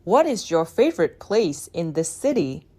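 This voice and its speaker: A woman's voice: on the low side, very animated, moderate pace, darkish tone. A woman asking short, plain practice questions in a beginner's speaking drill. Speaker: question prompter in a language drill. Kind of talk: scripted drill prompts.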